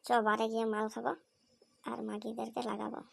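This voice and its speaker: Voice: mid-range voice, monotone, fairly quick, gravelly, dry recording. A performer voicing a crude, cocky young male slacker with slangy, foul-mouthed banter in a comedy cartoon. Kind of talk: amateur comic cartoon dubbing. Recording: slightly noisy amateur cartoon dub.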